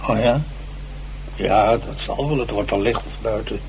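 An actor playing an ageing, self-dramatising stage actor jailed for murder, halting and theatrical, in a radio drama. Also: male voice, lowish voice, darkish tone, lightly acted, poor audio. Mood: resigned, grave. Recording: hissy old radio recording.

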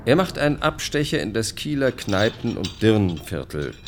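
Male narrator, low voice, scripted radio play, documentary style, faint background noise. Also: very animated, normal speed.

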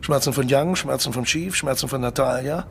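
An actor playing a sly spy colonel in a radio drama, husky and mock-polite as he makes measured threats. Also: mid-range voice, background noise, close to an everyday voice. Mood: mock-regretful menace.

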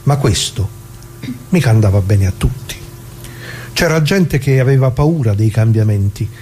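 A man's voice: medium pitch, animated, moderate pace, dark tone, slight background noise, close to an everyday voice. An actor playing a plain-spoken left-wing worker who recalls the past in a colloquial theatrical monologue, putting questions to his listeners. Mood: wry, confiding. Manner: conversational, rhetorical questions to listeners. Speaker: narrator of radio drama.

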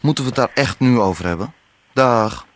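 An actor playing an irritable, exasperated boyfriend in a hurry, in a radio drama. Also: male voice, mid-range voice, animated, normal speed, background noise, natural voice. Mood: irritated. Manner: snappy, exasperated. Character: impatient, irritable boyfriend rushing to pack for a trip.

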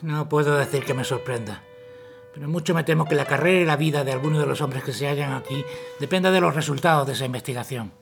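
Man playing a gravelly, calculating army colonel. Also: on the high side, music in the background. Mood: coldly menacing. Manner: formal, measured, menacing.

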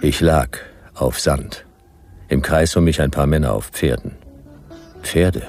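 The bottomless, creaky-voiced private eye of a late-night sci-fi radio serial, terse, weary and deadpan. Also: male voice, extremely low pitch, slow, soft background music, heavily acted. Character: laconic, world-weary male private detective of the future. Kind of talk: first-person radio drama narration.

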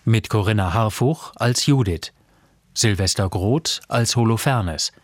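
A man makes a formal, scripted radio announcement in a low voice. He reads slowly through a list of credits, close to the microphone in a dry studio. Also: dry recording.

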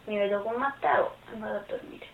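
A woman's voice: medium pitch, fairly quick, vocal fry, a little hiss or hum. A woman in her early twenties giving short, informal interview answers. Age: 20s. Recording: thin, echoey phone-like sound.

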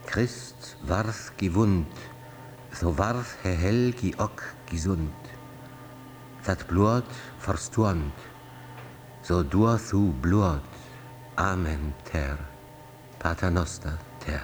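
An actor playing a solemn magician in a radio drama, reciting an old charm in a slow, incantatory way. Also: male voice, low-pitched, a little hiss or hum.

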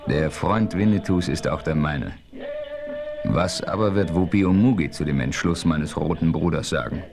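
A man playing a calm, thoughtful frontier hero who narrates his western adventure in a radio play with measured, formal diction. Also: very deep voice, over music. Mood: earnest, probing. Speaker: narrator and lead character.

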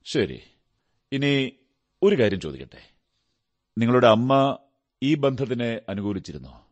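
A man acting in a radio drama as a shrewd, methodical detective who asks questions in a measured, deliberate way. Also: medium pitch, very animated. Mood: probing, composed. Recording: radio drama with background music. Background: music.

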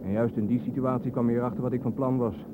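A man's voice: medium pitch, fairly even intonation, quick pace, natural voice. An actor playing a bitter, sardonic adult son in a radio drama, brooding as he talks. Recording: narrow phone-like sound over vehicle noise.

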